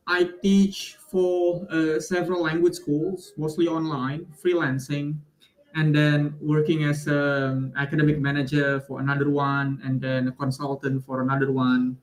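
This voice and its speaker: A polite male guest from Indonesia who speaks English. His voice sits a little high for a man, and he answers with lots of fillers and agreeing murmurs. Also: steady tone, unhurried, low-quality recording. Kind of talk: interview answers.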